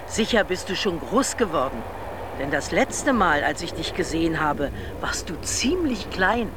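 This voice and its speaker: A woman's voice: on the low side, very animated, unhurried. A woman playing a kindly aunt in a children's radio play, reading her letter in a friendly, careful way. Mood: friendly, welcoming. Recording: clear vehicle noise behind. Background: vehicle ambience.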